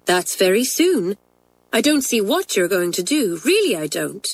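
A woman's voice: mid-range voice, big pitch swings, measured pace. A woman voicing a practical, frank schoolgirl in a storybook, with a matter-of-fact manner.

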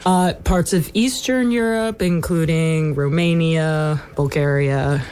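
A woman's voice: sits low, takes it slowly, darkish tone, clear, resonant tone. A woman from Ukraine, a band member, chatting casually about her band's music with lots of fillers like "like" and "um". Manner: rambling, many fillers like "like" and "um". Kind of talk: casual on-air chat.

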